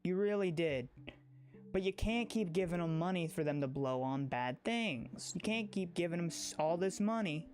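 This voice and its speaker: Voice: medium pitch, expressive, moderate pace, a little mellow. A livestreamer chatting casually and emphatically, rambling, often repeating phrases and restarting sentences.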